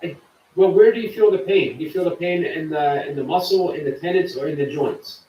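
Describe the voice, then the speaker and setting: A man's voice: a little high, fairly quick, reverberant, distant mic, highs cut off, a little hiss or hum. A man hosting a live stream, chatting casually and reading viewer comments aloud. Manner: chatty, warm to viewers, reads chat aloud. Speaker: livestream host.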